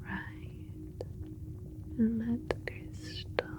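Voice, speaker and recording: A woman playing a soothing, clinical alien scientist in an ASMR roleplay, whispering with slow, deliberate, precise wording. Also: loud music behind the voice. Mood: soothing, reassuring.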